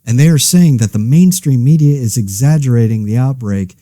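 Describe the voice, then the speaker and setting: A man giving a casual, rambling mock news commentary in a wry, deadpan, self-interrupting way, as the host of a satirical podcast. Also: mid-range voice, brisk, no room sound, clean studio sound.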